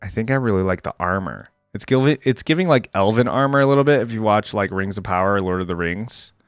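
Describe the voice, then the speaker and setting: A man giving casual, unscripted reaction commentary as a gaming fan, slangy and thinking out loud as he second-guesses himself. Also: fairly low pitch, expressive, fast, clear, resonant tone, no echo, clean studio sound.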